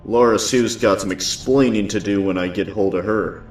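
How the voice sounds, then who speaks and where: A man voices a watchful guardian father in a comic dub. He is serious and fairly low, in an echoey recording with faint music beneath. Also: steady tone, normal speed, natural voice. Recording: echoey room, music underneath.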